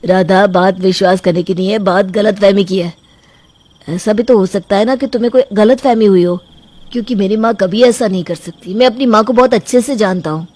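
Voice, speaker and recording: A gentle young husband from a cartoon family story, played by a woman. He reasons calmly and tries to settle arguments. The sound is close and dry. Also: mid-range voice, a little fast, no echo, natural voice. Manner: reasoning, soothing. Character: young husband, mild and devoted to his mother.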